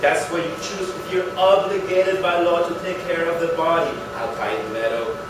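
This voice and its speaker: A male actor plays a pompous undertaker who holds forth with scorn and grand rhetoric. His voice sits high for a man. It comes from a distance in a live theatre reading, with clear noise around it. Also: fairly even intonation, normal speed, lightly acted. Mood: indignant, grandiose. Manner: declamatory, bombastic, sarcastic. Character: pompous, scornful, grandiose cut-rate undertaker and businessman husband. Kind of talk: staged play reading. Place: live reading in an echoey performance room. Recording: roomy, distant, noisy live theatre recording.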